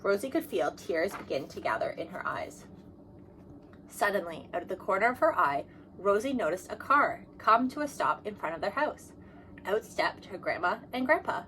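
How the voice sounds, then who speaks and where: A woman narrates a children's picture book aloud. Her voice sits at an average pitch and she reads expressively. There is noticeable noise behind her. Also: moderate pace, rough recording. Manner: expressive, acts out dialogue. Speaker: storybook reader.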